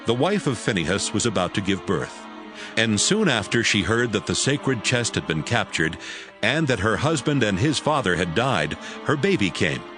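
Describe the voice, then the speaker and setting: Low-voiced man narrating, lively intonation. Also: moderate pace, over music. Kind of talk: scripted dramatized audiobook narration.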